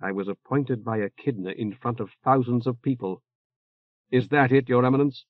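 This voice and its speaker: A man's voice: steady tone, moderate pace, dry recording, lightly acted. A man voicing a blunt, weary female general who speaks tersely, in a dull, muffled recording. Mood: flat, blunt. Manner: terse, low and quiet. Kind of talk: audiobook character voice.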